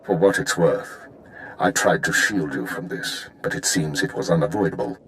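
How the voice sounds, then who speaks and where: A man playing a stern, measured intelligence chief in a video game, formal and authoritative. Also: rumbling low, expressive, fairly bright tone, full character voice, poor audio. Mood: stern, grave. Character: stern, measured male spymaster superior.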